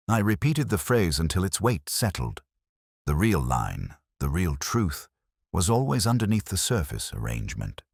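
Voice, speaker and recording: A man reading a scripted first-person detective story in a measured, solemn, literary manner. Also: extremely low pitch, breathy edge, dry recording, clean studio sound.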